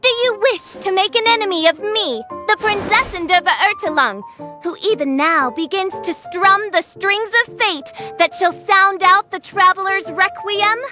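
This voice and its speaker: A very high, bright game voice of a haughty teenage girl. She declaims slowly in grandiose, archaic phrases, like self-styled dark royalty. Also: faint music behind, exaggerated voice. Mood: haughty, defiant. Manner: grandiose, theatrical, archaic flowery speech.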